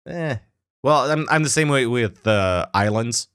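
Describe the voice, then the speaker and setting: A man's voice: mid-range voice, animated, measured pace, a bit bright, clear, resonant tone, no room sound. Dry-sounding rambling male podcast host. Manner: rambling, many fillers and restarts. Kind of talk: casual gaming chat.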